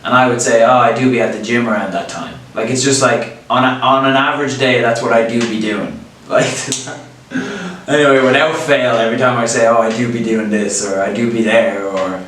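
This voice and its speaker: A man's voice: mid-range voice, steady tone, a little fast, a bit bright. A young man with an Irish accent chatting casually as a co-host, explaining with plenty of "like" and "you know" and laughing often. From Ireland. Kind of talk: casual chat. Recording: echoey room, voice a bit far from the mic, faint hiss.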